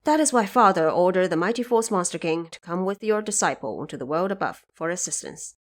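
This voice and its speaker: A woman's voice: lowish voice, expressive, brisk, close to an everyday voice. A woman playing a defeated, dutiful young warrior prince who speaks formally and earnestly.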